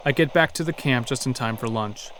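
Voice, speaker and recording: A man's voice: medium pitch, fairly quick, very noisy. A man narrating a scripted first-person horror story as an uneasy logging worker.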